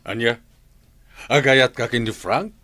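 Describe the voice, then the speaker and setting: A man's voice: mid-range voice, unhurried, bright, forceful tone. A man with an Ilocano accent playing a questioning, reluctantly indulgent father in a radio drama, hesitant in his replies. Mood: doubtful, resigned.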